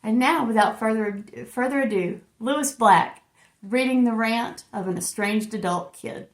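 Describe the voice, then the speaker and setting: A woman's voice: a little high, moderate pace, reverberant. A woman hosting a personal vlog, speaking as she introduces her channel. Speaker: channel host.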